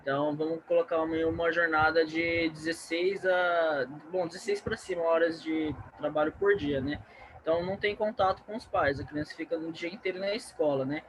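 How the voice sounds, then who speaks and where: A man's voice: on the high side, steady tone, fairly quick, a bit bright, a little hiss or hum, poor audio. A young Brazilian man with a Brazilian Portuguese accent, a podcast guest chatting casually and tagging sentences with "né". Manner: conversational, frequent "né" tags. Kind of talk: casual interview chat.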